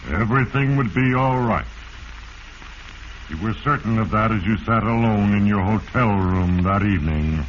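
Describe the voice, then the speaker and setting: An ominous, low-pitched narrator of doom, speaks with portentous deliberation and accusation. The 1940s radio mystery recording is muffled, with faint noise. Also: male voice. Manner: portentous, deliberate, accusing second-person address. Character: ominous male Fate narrating a schemer's crime to him.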